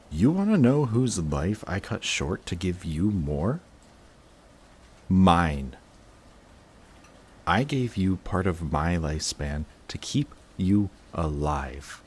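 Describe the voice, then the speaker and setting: Very deep-voiced, anguished villain played by a man. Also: darkish tone, a little hiss or hum, full character voice. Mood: defensive, anguished. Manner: intense, halting, swearing, defensive. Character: emotional male supervillain confessing to his longtime enemy. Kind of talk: dramatic audio roleplay monologue.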